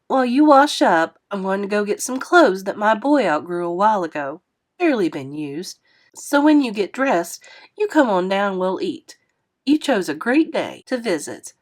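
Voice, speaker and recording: A woman voicing a kind but scolding motherly woman who fusses over a child in a lively way. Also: medium pitch. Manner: warm, animated, fussing.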